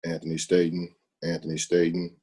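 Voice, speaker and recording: A man taking part in a class on a video call, congested, giving short, low-key replies. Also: monotone, moderate pace, warm tone, slightly rough. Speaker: student.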